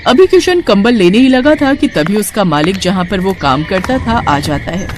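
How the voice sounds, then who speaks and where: A woman narrates a moral cartoon tale in a scripted storytelling style. Her pitch is middling, and there is clear background noise behind her voice. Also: expressive, normal speed.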